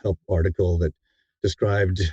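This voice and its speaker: A middle-aged man speaking as an interview guest, telling his story in a casual, reflective, somewhat halting way. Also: low-pitched, flat delivery, a little mellow, husky, no echo. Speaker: interview guest, audiobook narrator. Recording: slightly dull, dry audio.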